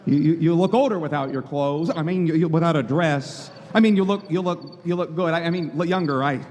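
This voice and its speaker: An actor playing a good-natured, awkward young small-town man in a radio play, with a halting, stammering, folksy delivery. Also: a little high, darkish tone, close to an everyday voice. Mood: smitten, awkward. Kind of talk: old-time radio play acting. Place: live performance in a hall. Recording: echoey hall, music bed behind.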